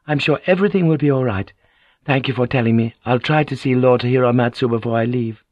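A man's voice: mid-range voice, brisk, no room sound, muffled recording, pitched above the performer's own voice, natural voice. A man voicing a polite, guarded noblewoman in audiobook dialogue, formal and controlled under her anxiety. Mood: anxious, reassuring.

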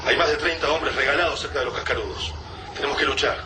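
An Argentine actor playing an agitated, earnest resistance fighter in a radio drama, speaking urgently. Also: male voice, mid-range voice, quick pace, natural voice. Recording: distant voice in loud noisy ambience.

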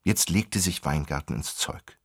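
An actor narrating a radio play as a wry storyteller with a gravelly, raspy voice. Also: male voice, low-pitched, measured pace, no room sound, clean studio sound, heavily acted. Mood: brisk storytelling. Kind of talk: scripted radio drama narration.

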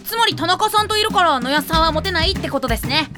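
An actor playing a small, adoring, naively enthusiastic high-school boy in an anime audio drama, over background music. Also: animated, fast talker. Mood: innocent.

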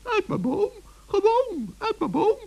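A man's voice: very high-pitched, huge pitch swings, unhurried, creaky, dark tone, pitched above the performer's own voice, full character voice. A man voicing a small, cheerful, innocently teasing forest gnome in a children's radio play, chirpy and polite.

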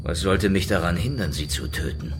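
Low-voiced, defiant secret policeman. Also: expressive, normal speed, background noise. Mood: tense, wary. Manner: terse, dry, sarcastic. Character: cornered, defiant, hard-boiled Soviet secret policeman. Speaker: actor in radio drama. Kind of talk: German radio play acting.

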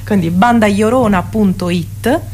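A woman's voice: mid-range voice, unhurried, a little mellow. A woman speaking as a musician guest in a casual radio interview, hesitant and often correcting herself.

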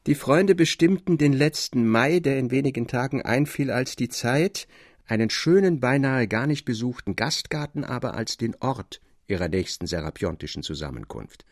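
A man narrating a literary tale with articulate, expressive delivery. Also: mid-range voice, moderate pace, no room sound, clean studio sound.

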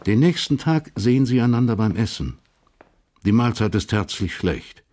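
A man narrating a German historical crime radio drama as a sober storyteller, measured and matter-of-fact. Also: lowish voice, animated, darkish tone, close to an everyday voice. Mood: observant.

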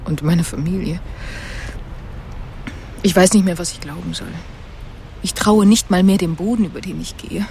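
A woman playing a despairing, lonely politician in a radio drama, speaking in a hushed, emotional way. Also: lowish voice, normal speed, pauses often, slight background noise, lightly acted.

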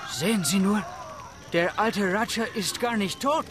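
An actor playing a humble, excitable Indian elephant driver in a radio drama, deferential in manner. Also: male voice, high voice, measured pace, noisy background. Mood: awestruck, alarmed.

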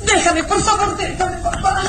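A woman's voice: very high voice, steady tone, moderate pace, heavy background noise. An actress playing a frightened young woman in a radio drama, pleading and tearful. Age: young adult. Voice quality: pleading, tearful, rising to screams. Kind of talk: 1960s radio drama acting. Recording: radio drama with music bed.

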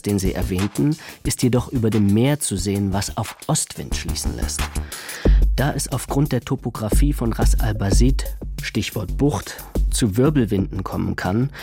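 Low-voiced, pedantic blogger. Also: male voice, darkish tone. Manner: precise, officious. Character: pedantic, polite male blogger reading out his formal letter. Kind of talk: radio drama, formal letter voice-over. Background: music.

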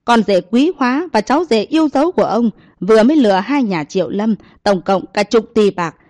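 A woman voicing a domineering old grandmother who shouts and scolds scornfully. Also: a little high, expressive, brisk, dry recording, studio quality, lightly acted. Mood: scolding.

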